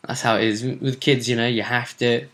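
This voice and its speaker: A man's voice: mid-range voice, flat delivery, brisk, fairly bright tone. A man, hosting a vidcast and giving a chatty, casual recap of a tabletop role-play tournament. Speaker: vidcast host.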